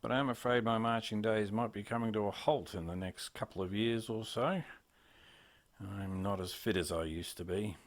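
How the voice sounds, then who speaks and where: A man playing a gentle, modest ageing war veteran uncle in a radio play. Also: on the low side, normal speed, close to an everyday voice. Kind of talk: amateur radio play dialogue.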